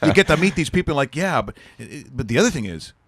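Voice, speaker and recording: A man's voice: on the high side, very animated, fast talker, fairly bright tone, slight background noise. A man hosting a talk show interview, chatting casually with many stumbles and restarts.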